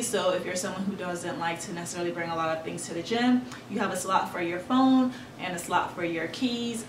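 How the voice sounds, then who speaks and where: Chatty young woman in an echoey room. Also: medium pitch. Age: young adult. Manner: chatty, upbeat, fillers like "like" and "um". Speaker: fashion vlogger. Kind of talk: casual clothing haul review. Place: bright room with clothing rack, indoors. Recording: roomy, slightly distant, faint room noise.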